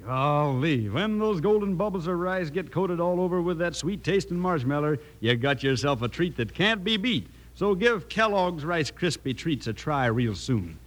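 A man's voice: on the high side, animated, moderate pace, hardly any pauses, low-quality recording. An American man reading a folksy mid-century radio commercial as an announcer. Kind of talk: 1950s folksy cereal commercial.

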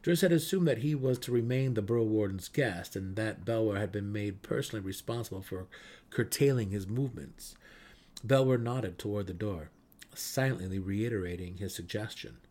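A man's voice: on the low side, moderate pace, a little mellow. A man giving a dramatic narration of a fantasy novel as an audiobook reader. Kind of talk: dramatic reading of fantasy fiction.

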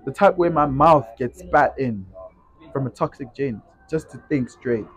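Husky young South African man, teasing. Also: medium pitch, measured pace, a bit bright, dry recording. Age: 20s. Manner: teasing, slangy banter. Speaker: podcast co-host. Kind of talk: casual chat.

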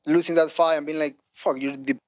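Halting fighter with a high voice. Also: male voice, steady tone, no room sound. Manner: halting, nonstandard grammar. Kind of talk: interview clip.

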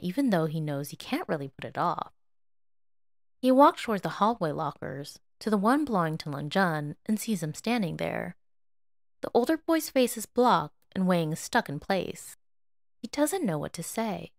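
Animated woman narrating fiction, low-pitched. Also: no echo, studio quality. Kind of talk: expressive scripted story narration.

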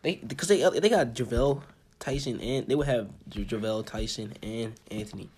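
A young American man with a husky voice, co-hosting a casual sports chat in an informal, halting way and often repeating himself. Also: mid-range voice, darkish tone. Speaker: podcast co-host.

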